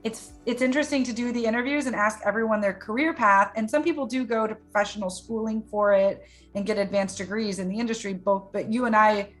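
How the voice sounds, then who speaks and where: Enthusiastic woman host, middle pitch, faint music behind. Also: fairly quick. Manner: enthusiastic, chatty. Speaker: interview host. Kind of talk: interview chat.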